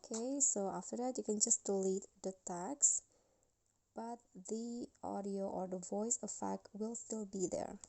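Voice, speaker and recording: A woman's voice: mid-range voice, unhurried, creaky, warm tone, faint music behind. A woman hosting a spoken step-by-step tutorial for an editing app. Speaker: tutorial host.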